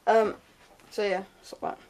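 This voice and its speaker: A woman's voice: a little slow, darkish tone. A young woman gives an informal, unscripted hands-on tutorial in a hesitant, offhand way, trailing off into asides. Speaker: sound tech tutorial presenter.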